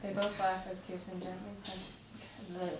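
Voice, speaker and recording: A woman's voice: medium pitch, flat delivery, a little slow. A young woman reading scripted stage directions aloud at a table read. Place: home dining room, around a table. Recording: roomy, distant mic, clear noise.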